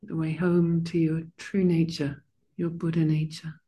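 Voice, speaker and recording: Woman, soft-spoken meditation teacher, low mellow voice, husky, gentle pace. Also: little pitch movement. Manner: slow and gentle, with pauses; warm and chatty, with fillers. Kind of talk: guided meditation and online dharma class. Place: monastery room.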